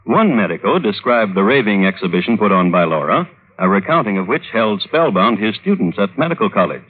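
A low-voiced, droll male storyteller in a vintage radio drama. He narrates scandal with mock solemnity and very varied intonation. The sound is narrow and muffled, like an old broadcast. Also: animated, a little fast, breathy edge. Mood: droll, dry.

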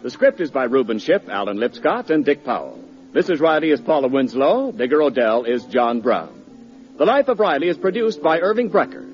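A man's voice: medium pitch, sing-song, moderate pace, faint music behind. A man announcing on 1940s radio, with polished, emphatic sales delivery. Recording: muffled old radio broadcast.

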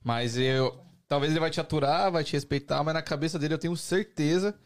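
Man, Brazilian, podcast host, slangy, quick delivery. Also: on the high side. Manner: slangy, full of restarts. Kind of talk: casual podcast chat.

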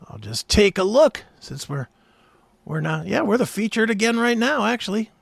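Animated, high-pitched gravelly man chatting. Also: normal speed, dry recording, clean studio sound. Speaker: talk show host. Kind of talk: casual chat.

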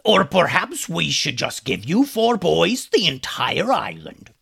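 A man voicing a gruff, mocking pirate captain in a children's story. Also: fairly high pitch, huge pitch swings, pitched above the performer's own voice, heavily acted. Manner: loud, jeering, drawn-out mockery.

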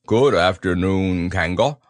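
A man voicing a slow, simple-minded, gentle male bear who stammers and hesitates. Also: low voice, no echo, studio quality, voice pushed down. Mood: hesitant.

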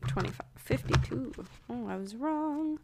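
A woman's voice: high-pitched, animated, unhurried, background noise. A young woman rambling through a weekly oracle card reading in a casual, chatty way, full of ums and asides. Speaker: spiritual podcaster.

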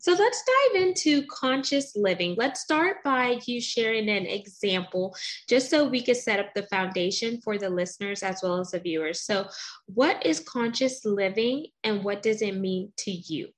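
A woman's voice: medium pitch, lively intonation, moderate pace. A woman hosting a podcast interview, upbeat and chatty, with casual fillers. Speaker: podcast host.

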